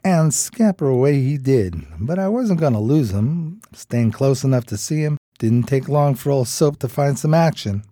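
Man acting a mellow, animated streetwise New pal. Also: medium pitch, normal speed, hardly any pauses, no room sound, studio quality, natural voice. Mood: wry storytelling. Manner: colloquial, slangy, folksy. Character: streetwise homeless pal narrating in folksy slang, admiring. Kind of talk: radio drama narration.

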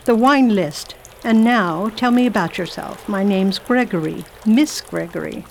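A formidable spinster travel writer who gives orders and talks down to everyone, as a woman acts her. Her manner is crisp, condescending and brisk. Radio play acting. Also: medium pitch, darkish tone, a little hiss or hum. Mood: bossy. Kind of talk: amateur radio drama acting.

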